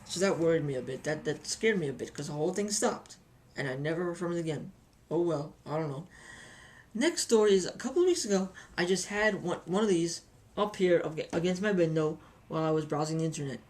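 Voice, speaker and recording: A woman's voice: deep voice, animated, fairly quick, darkish tone. A woman giving a casual, chatty hobby review with anecdotes, often repeating phrases for emphasis.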